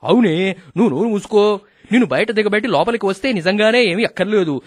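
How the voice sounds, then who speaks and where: A man voicing a grumpy old retired village official in a radio comedy, gruff and curt. The voice sits high for a man and is heard dry and close to the mic. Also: no room sound. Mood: impatient. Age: older. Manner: gruff, curt, quick to take offence.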